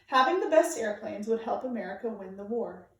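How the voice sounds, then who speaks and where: A woman reading aloud to children like a teacher, with clear, deliberate, emphatic phrasing. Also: a little high, lively intonation, a bit bright. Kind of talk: read-aloud storytelling for children, informal introduction. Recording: very echoey room, distant microphone.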